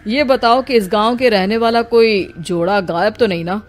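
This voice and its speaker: A woman's voice: fairly high pitch, moderate pace, lightly acted. Woman playing an authoritative senior police officer. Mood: official, firm. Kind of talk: Hindi animated moral story dialogue.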